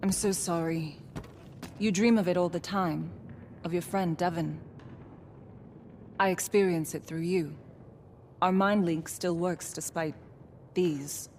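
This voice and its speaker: An actress playing a steady, wry fugitive mage companion in a video game, measured, dry and reassuring. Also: female voice, on the low side, slight background noise. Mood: somber, frank. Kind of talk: cinematic game acting. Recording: faint background ambience.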